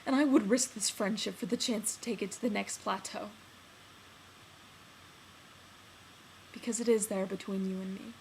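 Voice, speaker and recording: A woman performing a dramatic monologue as a lovesick man confessing love to a friend, earnest and halting, with emphatic swells. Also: mid-range voice, steady tone, a little mellow, close to an everyday voice, poor audio. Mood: desperate, insistent. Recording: noticeable background noise.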